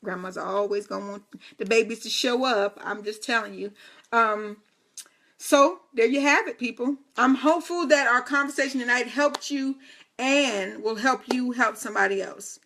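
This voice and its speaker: Bright, playful woman in her fifties. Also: fairly high pitch, normal speed. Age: 50s. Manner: playful, teasing asides and chatty direct address. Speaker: host of a live video talk. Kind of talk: casual live-stream talk to viewers.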